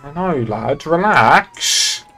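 Young male streamer, gravelly edge, blunt, sweary in-game banter. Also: fairly high pitch, animated, extremely slow, bright, forceful tone. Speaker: streamer playing a police boss in a roleplay game. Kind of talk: casual in-game roleplay chat. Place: indoors at a streaming setup.

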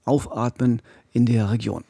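A man's voice: medium pitch, fairly even intonation, measured pace, gravelly, warm tone, husky, dry recording. A man in his forties telling a true-crime story conversationally as a podcast host, close on a studio microphone. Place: radio studio. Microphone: close studio microphone with pop filter.

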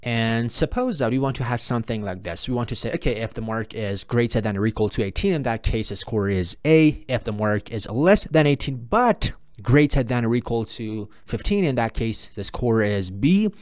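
A man's voice: medium pitch, lively intonation, moderate pace, darkish tone, dry recording. Man explaining code, dry close sound. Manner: repetitive, explanatory, uses "in that case" and "I mean" often. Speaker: programming instructor. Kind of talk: screen-recorded coding tutorial.

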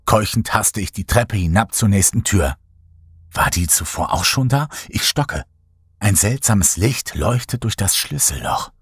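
Husky low-voiced male horror narrator. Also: lively intonation, measured pace, dry recording, clean studio sound. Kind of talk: scripted audiobook narration.

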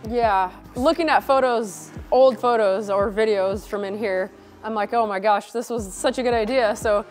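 A woman's voice: fairly high pitch, moderate pace, darkish tone, soft background music. A woman in her thirties chatting casually and practically in a home gym, on a lapel mic. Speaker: co-host.

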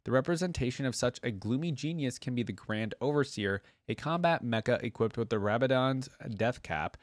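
A man's voice: medium pitch, lively intonation, fairly quick, no room sound. A man hosting a reaction video, reading aloud and breaking off for casual, exclaiming asides.

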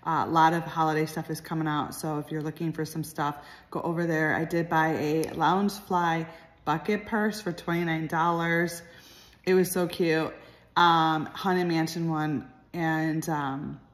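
A woman's voice: sits low, flat delivery, a little slow. A woman, chatting casually through a phone video as she points things out. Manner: chatty, points out items, lots of "cute" and "like". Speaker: vlogger. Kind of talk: casual shopping vlog chat. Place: store aisles, then a home living room. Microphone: phone held by hand, later at arm's length. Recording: phone video with loud store music and crowd.

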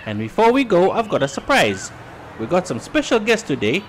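An actor playing an upbeat, encouraging and instructive youth football coach in an animated comic. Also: male voice, high-pitched, moderate pace, slight background noise, low-quality recording. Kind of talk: animated motion comic acting.

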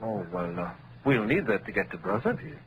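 An actor playing an urbane, slippery police captain in a 1940s radio drama, dry and suave. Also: male voice, mid-range voice, huge pitch swings, brisk, creaky, lo-fi sound. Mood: amiable, wry. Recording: noisy old radio drama recording.

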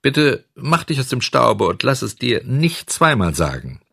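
A man's voice: medium pitch, sing-song, measured pace, gravelly, a bit bright, husky, no room sound, clean studio sound, voice pushed up, heavily acted. A man voicing a rude little wooden puppet boy in a storybook, with a brusque, defiant manner. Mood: gruff, defiant. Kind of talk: storybook audiobook character voice.

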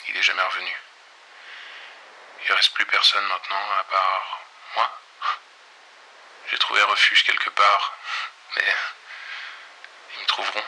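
A man's voice: low voice, level tone, measured pace, pauses often, bright, forceful tone, full character voice, poor audio. Man playing a hunted, grave rebel broadcaster. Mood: resigned, haunted. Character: lone hunted male pirate-radio broadcaster, grave and defiant. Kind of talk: dystopian audio fiction monologue.

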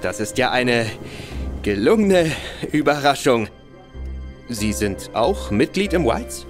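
A tipsy spiteful young aristocrat, radio play, swinging pitch, faint music behind. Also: male voice, big pitch swings, slow pace. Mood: tipsy, bitter. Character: Sebastian Conroy, young nobleman, bitter, drinking, boastful.

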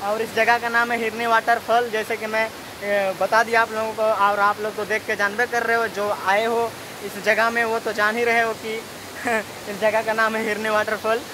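A man's voice: high-pitched, little pitch movement, normal speed. Chatty man vlogging beside a roaring waterfall. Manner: chatty, rambling, laughs often. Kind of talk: casual travel vlog talk. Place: outdoors by a waterfall. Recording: loud hiss of falling water.

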